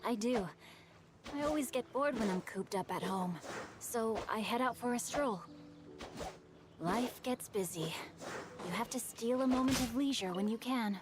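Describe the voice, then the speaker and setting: A woman's voice: fairly high pitch, unhurried, pauses often, close to an everyday voice. A woman voicing a bookish, chivalrous teenage boy swordsman in a video game, with an eloquent, poetic way of talking. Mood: composed. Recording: game music behind.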